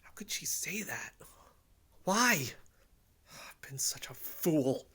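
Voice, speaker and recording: Man playing an earnest, sharp-voiced young investigator. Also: fairly high pitch, big pitch swings, slow pace, slightly rough, whispered, full character voice. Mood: betrayed, agitated. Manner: intense, self-serious. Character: earnest, suspicious young male amateur investigator named Kyle. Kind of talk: audio drama, dictating covert voice notes.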